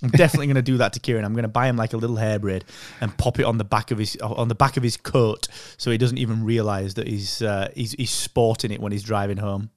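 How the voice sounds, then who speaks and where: A man's voice: fairly low pitch, steady tone, fast talker, hardly any pauses, no echo, clean studio sound. A man co-hosting a podcast in a casual, chummy, jokey way. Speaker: podcast co-host. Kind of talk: casual chat.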